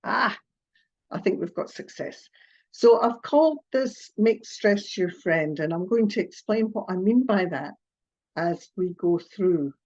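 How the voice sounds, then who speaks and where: Slow, hesitant Scottish woman on a video call. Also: medium pitch, expressive, darkish tone. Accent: Scottish, partly Australian. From Scotland. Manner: hesitant, apologetic, with filler sounds and little laughs. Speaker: therapist presenting a webinar. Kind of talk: online presentation. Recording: muffled video call audio.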